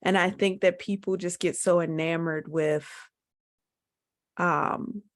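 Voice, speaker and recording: A woman's voice: fairly low pitch, steady tone, slow pace. A woman hosting a podcast interview, asking long, searching questions in a conversational way. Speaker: podcast host.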